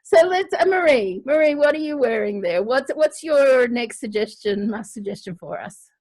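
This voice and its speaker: An Australian woman hosting a live online show in a casual, halting, playful and chatty way. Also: fairly high pitch, expressive, a little mellow.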